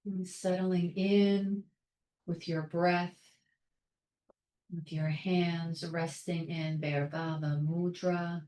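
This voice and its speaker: A woman leading a guided meditation in a calm, soothing, measured way, leaving long pauses between short phrases. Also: lowish voice, reverberant, rough recording. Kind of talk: guided meditation, soft instruction.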